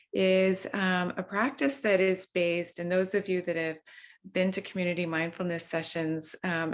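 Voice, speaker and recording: A woman leading a video-call mindfulness session in a gentle, unhurried way, with frequent ums and restarts. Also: on the low side. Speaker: workshop facilitator. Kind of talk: gentle guided mindfulness talk.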